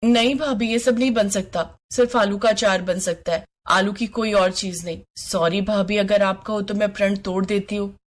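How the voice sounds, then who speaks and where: A woman's voice: mid-range voice, steady tone, moderate pace, hardly any pauses, natural voice. A woman voicing a polite young newlywed daughter-in-law in a family story, earnest and pleading. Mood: polite, sweet. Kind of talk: story character voice.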